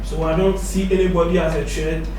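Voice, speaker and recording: A young man speaks in a high voice, calm and soft, slipping into pidgin phrases. He sounds a bit off the mic in a very echoey room, with faint hum behind him. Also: fairly quick, far from the mic. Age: young adult. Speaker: guest musician. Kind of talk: studio interview. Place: TV studio. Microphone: stand mic.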